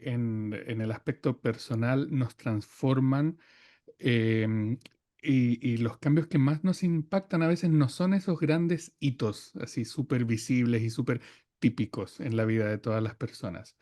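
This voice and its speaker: A man's voice: mid-range voice, a little slow, dark tone, dry recording. A man with a mid-range voice talks as a podcast guest. He thinks aloud, often stopping on small fillers before he finds his words. The sound is dry and close. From Chile. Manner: thoughtful, many hesitations and fillers. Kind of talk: reflective conversation.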